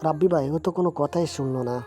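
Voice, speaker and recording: A man's voice: fairly high pitch, fast talker, dark tone, soft background music, lightly acted. A man playing a bossy young gang henchman in an audio drama, with a commanding manner. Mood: deferential. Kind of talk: Bengali audio story acting.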